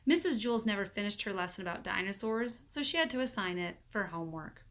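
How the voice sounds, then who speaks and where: A woman's voice: medium pitch, lively intonation, quick pace, fairly bright tone. A woman narrating a children's book aloud as a teacher would for students.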